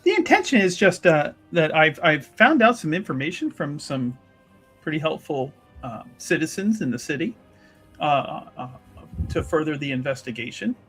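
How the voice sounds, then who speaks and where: A man's voice: fairly high pitch, sing-song, moderate pace, a bit bright, slightly rough, soft background music, heavily acted. A man voicing a chipper, sly, well-mannered female investigator in a tabletop game, speaking in polite, roundabout phrases. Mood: polite, coaxing.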